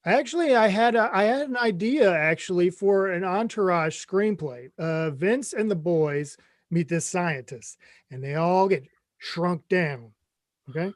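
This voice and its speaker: A man's voice: sits high, a little slow, no echo, clean studio sound. A man playing a clueless, chummy middle-aged boyfriend in a comedy script read, earnest and a bit rambling. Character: Craig, mom's 55-year-old boyfriend, clueless, eager, chummy.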